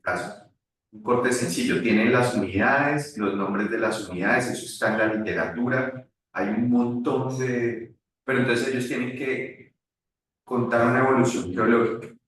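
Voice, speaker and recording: Guy lecturer thinking out loud, lots of restarts, echoey room, sits back from the mic. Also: mid-range voice, far from the mic. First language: Spanish. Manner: halting, many restarts. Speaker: university lecturer. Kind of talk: online class discussion.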